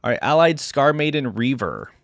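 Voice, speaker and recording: A man's voice: mid-range voice, big pitch swings, moderate pace, no room sound, studio quality. A man hosting a reaction video, commenting casually and musing in an informal way.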